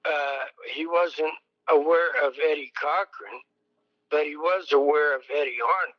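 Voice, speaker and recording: An older man, a songwriter, recalling his past slowly in an interview, with many pauses and a fairly high voice. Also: highs cut off. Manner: slow, rambling, many ums and pauses. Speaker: songwriter guest. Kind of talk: reflective oral history interview.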